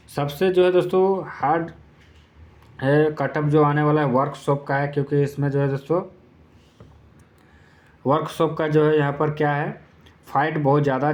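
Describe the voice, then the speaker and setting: A man's voice: on the high side, darkish tone. Man explaining step by step, informally. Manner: repetitive, step-by-step explaining with filler phrases. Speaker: exam-prep YouTube presenter. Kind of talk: informal explainer addressed to exam candidates.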